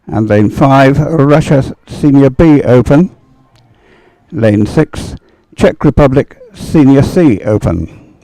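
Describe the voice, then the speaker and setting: A man commentates live on racing in a soft-edged, mellow voice. His delivery is unscripted and halting, often hesitating and wandering as he goes. The sound is dry, with very little room around him. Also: medium pitch, animated, no room sound, rough recording. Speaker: race commentator.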